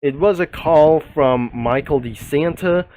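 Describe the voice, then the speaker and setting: Slow-talking man rambling through commentary. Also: a little high, mellow, slight background noise, rough recording. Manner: rambling, roundabout phrasing. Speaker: video creator presenting a comic studio. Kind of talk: unscripted screen commentary.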